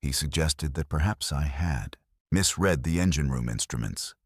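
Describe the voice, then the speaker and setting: Very deep-voiced man narrating gravely. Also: lively intonation, no echo, clean studio sound. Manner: measured, grave, deliberate. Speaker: horror story narrator. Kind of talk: scripted first-person story narration.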